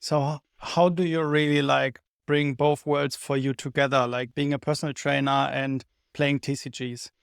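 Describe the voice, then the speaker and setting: Male host, mid-pitched, friendly interviewer, dry close recording. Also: little pitch movement, moderate pace, creaky, few pauses, clear, resonant tone, no room sound. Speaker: podcast host. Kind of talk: podcast interview hosting.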